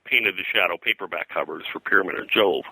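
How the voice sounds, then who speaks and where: Deep, very bright-voiced older man, halting. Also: gravelly, husky, dry recording, poor audio. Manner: halting, discursive, hesitant phrasing. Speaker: interview guest, pop culture historian. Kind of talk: casual interview, anecdotal storytelling.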